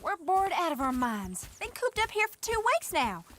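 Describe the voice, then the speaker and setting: A woman's voice: very high-pitched, very animated, normal speed, a bit bright, slight background noise, exaggerated voice. An actress playing a bored, restless young woman from an outlaw camp in a western game. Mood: bored, complaining.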